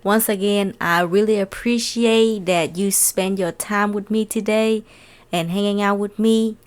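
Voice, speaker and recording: A woman's voice: medium pitch, fairly even intonation, unhurried, smooth. A woman from Vietnam with a Vietnamese accent and a light Southern US twang, earnestly hosting a solo podcast in slightly non-native English. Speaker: podcast host.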